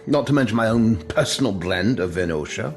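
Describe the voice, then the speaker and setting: A genial old tavern keeper in an audio drama. His delivery is theatrical and folksy, with pitch that rises and dips freely. He teases and chats heartily over a bed of music. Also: expressive, a little fast. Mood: hearty, welcoming. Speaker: barkeep. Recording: music under the dialogue.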